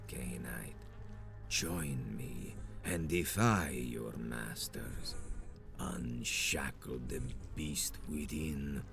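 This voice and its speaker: A man's voice: very deep voice, full character voice. An actor playing a menacing, ancient male vampire sect leader in a video game, speaking in grandiose, solemn, archaic oratory. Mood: grave, tempting. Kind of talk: dramatic video game villain acting.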